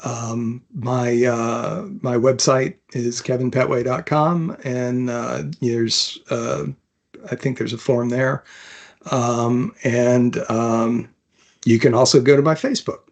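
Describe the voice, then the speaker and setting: A man, an author, chatting casually in a halting way, with many hesitations between phrases. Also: medium pitch, steady tone, a little mellow. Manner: halting, filler-heavy. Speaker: author being interviewed. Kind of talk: casual chat.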